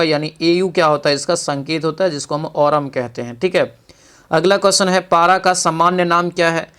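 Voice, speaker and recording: A man's voice: fairly high pitch, fairly bright tone, smooth. A man teaching an exam practice lesson, reading out questions and explaining answers with frequent check-in tags and rhetorical questions.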